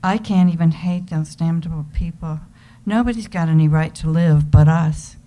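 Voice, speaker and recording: A woman's voice: sits low, moderate pace, dark tone, rough recording. A woman giving a dramatic reading of a love letter. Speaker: reader in an amateur readers theater.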